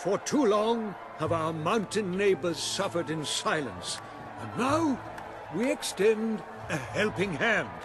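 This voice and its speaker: A man's voice: high voice, very animated, takes it slowly, crowd noise, full character voice. A man playing a pompous town orator in a fantasy game, giving a grand, declamatory public speech. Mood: grandiose. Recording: music behind the voice.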